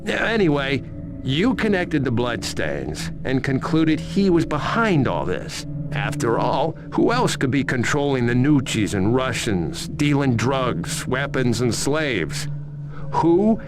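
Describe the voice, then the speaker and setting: A man's voice: medium pitch, very animated, slow, music in the background. A man playing a jittery, flustered police informant in a video game, with a nervous, hesitant manner. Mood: probing, flustered. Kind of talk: video game cutscene acting.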